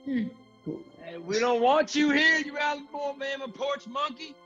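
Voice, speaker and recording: A man's voice: very high-pitched, measured pace, bright, forceful tone, muffled recording, faint music behind, exaggerated voice. A man playing a venomous, jeering baseball heckler in a dramatic script reading. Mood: hateful, taunting.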